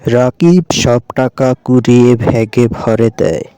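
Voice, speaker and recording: A man's voice: medium pitch, unhurried, warm tone, clear, resonant tone, no room sound. A man giving scripted narration for a cartoon story. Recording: music behind the voice.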